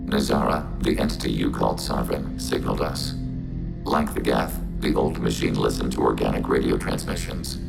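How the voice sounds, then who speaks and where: A synthetic game robot with an extremely deep, heavily creaking voice. It speaks for many minds in a calm, formal, literal way, without emotion. Robotic processing colors the voice, and loud music plays underneath. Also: male voice, moderate pace, exaggerated voice. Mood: calm, explanatory. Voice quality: synthetic, machine-like. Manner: clipped, formal, emotionless phrasing. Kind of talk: video game voice acting.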